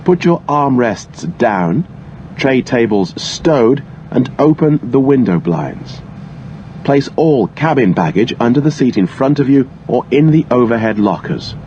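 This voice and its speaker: A man's voice: medium pitch, expressive, a little slow, dark tone. A man reading scripted airline cabin announcements in a formal, instructional manner. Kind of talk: scripted cabin safety announcement, read aloud. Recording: muffled lo-fi audio with engine-like cabin noise.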